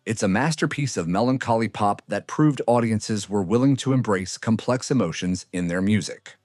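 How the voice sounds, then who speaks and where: A man's voice: fairly low pitch, moderate pace, darkish tone. A man reading a scripted music countdown as its narrator.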